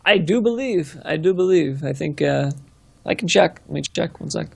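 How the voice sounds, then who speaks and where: A man with a fairly high, gravelly voice co-casts a live esports stream. He is easygoing and agreeable, and often repeats words while he gathers his thoughts. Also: lively intonation, moderate pace, darkish tone. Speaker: guest co-caster. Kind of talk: casual esports commentary.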